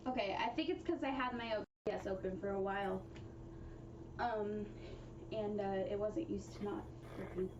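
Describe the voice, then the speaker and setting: A woman's voice: mid-range voice, normal speed, far from the mic, lo-fi sound, background noise. A woman livestreaming a speedrun, chatting casually and joking with a laugh in her voice. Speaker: speedrunner streaming her run. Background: loud game music.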